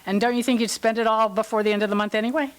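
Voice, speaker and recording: A woman's voice: on the high side, steady tone, rapid-fire, lightly acted. A woman playing a caring but firm legal guardian, patient and explaining, in a staged reading of a play.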